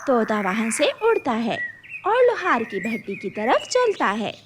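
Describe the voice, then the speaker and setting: A woman's voice: on the high side, wildly swooping pitch, unhurried, background noise. A woman narrating a scripted children's cartoon story.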